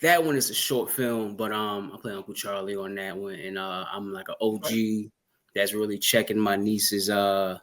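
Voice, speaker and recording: A male actor guest in a casual interview, rambling and quick, laughing often. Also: fairly low pitch, steady tone, fairly bright tone.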